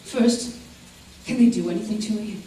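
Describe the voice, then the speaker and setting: A woman's voice: medium pitch, close to an everyday voice. Woman acting cold, scheming woman in echoing hall. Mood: cool confessional. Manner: measured, insinuating, theatrical. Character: cold, scheming, manipulative woman in love, narrating her crime. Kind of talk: old-time radio drama acting on stage. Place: theater stage before a live audience. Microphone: stage mics, recorded by far camera. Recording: echoey stage hall, far mic, faint noise.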